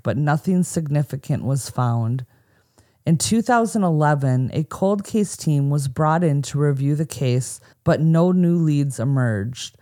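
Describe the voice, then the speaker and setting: A woman's voice: sits low, mellow. An American woman co-hosting a podcast, telling a true crime story from a script with casual asides. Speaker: podcast co-host.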